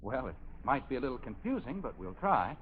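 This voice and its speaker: Male actor as earnest, formal chauffeur, lively inflection, deferential; dulled vintage radio sound. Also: medium pitch, a little fast, lightly acted. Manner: formal, polite. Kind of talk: 1950s radio sitcom acting. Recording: muffled, faintly noisy 1950s radio recording.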